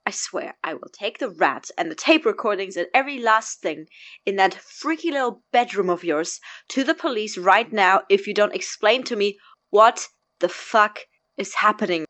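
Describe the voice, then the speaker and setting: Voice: medium pitch, expressive, moderate pace, sharp tone, no room sound. A performer playing a stern, incredulous young man, blunt, sarcastic and demanding, in a naturalistic audio drama. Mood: angry, incredulous. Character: stern, incredulous young man confronting his experimenting housemate.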